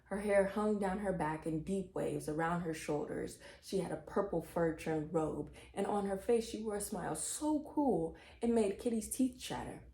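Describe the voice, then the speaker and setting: A woman narrates a children's story with expressive, scripted delivery. Her voice is slightly low and very mellow. The recording sounds roomy and echoey. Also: normal speed, hardly any pauses.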